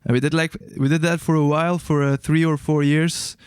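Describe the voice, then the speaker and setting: Smooth-voiced young man, hesitant and searching. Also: on the high side, level tone, a little fast, no echo, studio quality. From Albania. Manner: hesitant, searching for words, many restarts. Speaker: guest musician. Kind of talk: casual interview answers.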